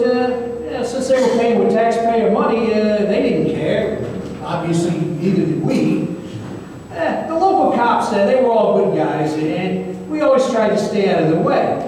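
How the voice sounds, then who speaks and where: A man's voice: high voice, darkish tone. A man playing a jaded, wry retired private investigator in an old time radio drama, hesitant and rambling. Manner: hesitant, rambling, filler-heavy storytelling. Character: jaded, wry retired small-town private investigator telling his tale. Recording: echoey hall, distant mic, faint noise.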